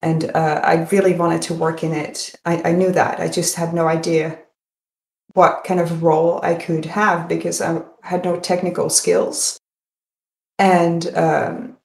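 Low-pitched, creaky woman. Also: flat delivery, a little slow, a little mellow. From Netherlands. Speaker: podcast guest. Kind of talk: conversational interview answers. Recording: roomy, echoing sound.

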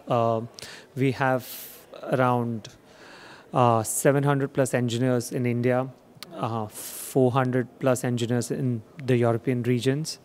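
Young man giving a hesitant sales pitch. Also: a little mellow. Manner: hesitant, many fillers. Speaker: company presenter. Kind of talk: trade show sales pitch. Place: dark expo demo theater.

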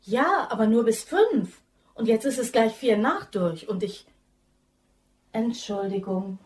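High, lively, chatty young woman. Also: slow pace, husky, exaggerated voice. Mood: apologetic. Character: chatty, curious young woman keen on horoscopes. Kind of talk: German crime radio drama acting.

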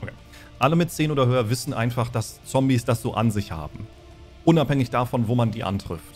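A man's voice: mid-range voice, fast talker. Explanatory male game master. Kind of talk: narrating and refereeing a tabletop game. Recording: faint music behind the voice. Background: faint music.

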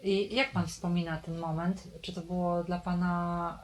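A woman with a somewhat low voice interviews a local resident for an oral history project. She asks questions and keeps him talking with brief affirmations. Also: flat delivery, unhurried. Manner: prompting, affirming with short replies.

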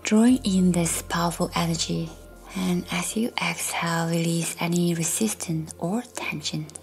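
A woman reading a scripted guided meditation in a soft, breathy, near-whispered voice, gentle and measured with long pauses. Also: on the low side, steady tone. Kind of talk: slow, soothing guided meditation, scripted narration. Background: faint music.

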